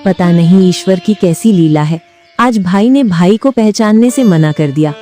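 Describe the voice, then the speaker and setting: A woman voicing a gentle village wife in a moral-story cartoon. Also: on the low side, animated, measured pace, faint music behind. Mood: consoling. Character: gentle, devout village wife in a family drama.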